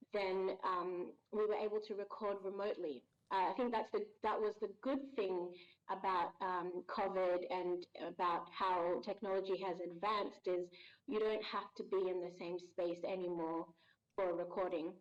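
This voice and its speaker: A woman being interviewed as a voice actor, chatty and quick to laugh, with lots of ums. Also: lowish voice, fairly even intonation, dull audio. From Australia. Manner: warm, chatty, laughs easily, many ums. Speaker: guest voice actor. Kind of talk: casual interview chat.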